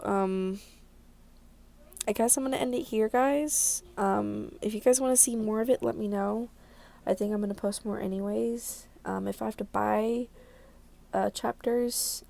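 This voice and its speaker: Young woman gamer with a creaky edge. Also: mid-range voice, mellow. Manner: informal, sweary, reactive. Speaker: gaming video host. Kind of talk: casual let's play commentary with read-aloud game narration.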